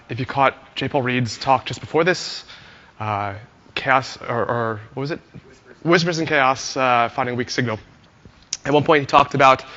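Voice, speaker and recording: A hoarse man giving a friendly conference talk to an audience, telling a story with many "uh" fillers. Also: mid-range voice, bright, forceful tone, in a large hall, highs cut off. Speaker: conference speaker, engineer.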